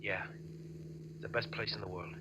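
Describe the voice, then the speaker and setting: A man's voice: sits low, steady tone, normal speed, sharp tone, a little hiss or hum. A man playing an injured, sardonic ex-convict bank robber in a 1940s radio drama, terse and dryly defiant. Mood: guarded calm. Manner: terse, dry, tough-talking. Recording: muffled old radio recording.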